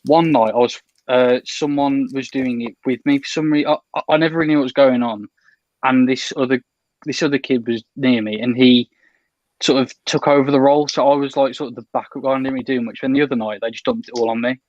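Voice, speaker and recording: A young man speaks at a middle pitch over a video call, halting and restarting as he tells his story. The sound is dry and a little dull. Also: steady tone, a little mellow, no echo. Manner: halting, restarts often while telling a story. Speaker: podcast guest.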